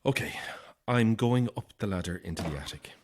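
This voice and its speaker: Frightened woman, horror audio drama, very animated pitch, anxious, unravelling, whispers and cries. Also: brisk, noisy background. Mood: uneasy. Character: frightened, unravelling woman trapped on an empty housing estate.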